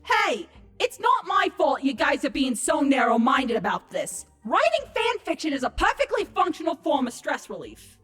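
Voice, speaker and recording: A woman's voice: high-pitched, big pitch swings, normal speed, fairly bright tone, faint music behind. A woman voicing a flustered, defensive young female changeling, stammering and exasperated, in a comedic audio story. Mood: indignant. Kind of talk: animated audio-drama acting of a comedy story.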